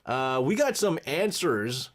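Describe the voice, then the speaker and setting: Lively, laughing male radio host. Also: takes it slowly. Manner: animated, laughs often, swings between high and low. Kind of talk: casual radio chat.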